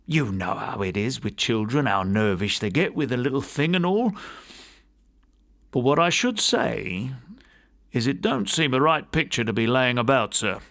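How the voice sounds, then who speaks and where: A man's voice: medium pitch, expressive, normal speed, a bit bright. A man voicing a deferential, uneasy college manservant in an audiobook, rambling on in homely, uneducated speech. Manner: deferential, rambling, folksy grammar. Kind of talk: audiobook character voice.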